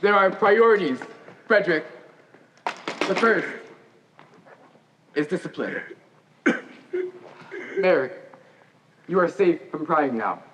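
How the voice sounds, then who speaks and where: A teenage boy on stage playing a stern, orderly hospital governor who speaks formally and deliberately. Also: high voice, lively intonation, fairly bright tone, full character voice. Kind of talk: high school stage play acting. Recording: echoey hall, clearly noisy.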